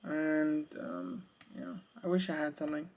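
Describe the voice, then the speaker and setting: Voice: mid-range voice, little pitch movement, a little slow, a little mellow. A reviewer giving a casual product demonstration, thinking aloud in a hesitant way. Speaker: radio reviewer.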